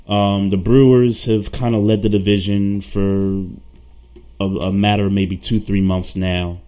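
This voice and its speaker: A very deep-voiced man hosts a laid-back sports talk show. He talks loosely, repeating words and restarting as he thinks. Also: unhurried. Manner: relaxed, stammers and repeats words. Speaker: podcast co-host.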